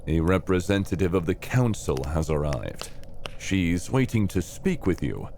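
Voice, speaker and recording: A man's voice: low-pitched, very animated, measured pace, creaky, background noise, heavily acted. A man playing a dragon queen's dutiful attendant in an audio roleplay, making formal announcements.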